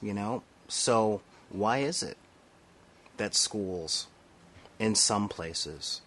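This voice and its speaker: A man's voice: fairly low pitch, very slow, a bit bright. Nasal man ranting to camera. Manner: emphatic, rhetorical asides, frequent "all right?". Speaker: vlogger. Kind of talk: impassioned monologue to camera.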